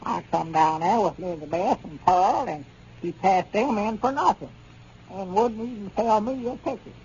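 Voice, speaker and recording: An actor playing a timid, touchy, easily flustered rural Southern storekeeper in a folksy 1930s radio comedy style. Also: male voice, sits high, moderate pace, darkish tone. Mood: sulky. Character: excitable, timid, touchy country storekeeper, a man. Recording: dull, noisy 1930s radio recording.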